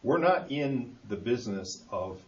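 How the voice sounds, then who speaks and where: A man speaking in a halting, deliberate way as a board member at a public meeting in a hall. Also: medium pitch, animated. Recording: muffled, echoey meeting room, background hiss.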